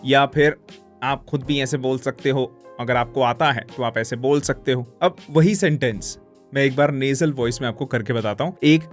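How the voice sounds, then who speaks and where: A man's voice: medium pitch, normal speed, soft background music. Male voiceover instructor, middle register, instructional delivery. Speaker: voiceover coach. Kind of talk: instructional explanation with demonstrations.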